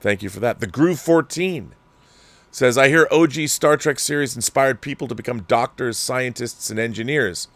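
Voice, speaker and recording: A man hosting a show, ranting in heated, profane commentary and reading viewer comments. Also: no echo. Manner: heated, profane, lively pitch swings. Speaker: show host.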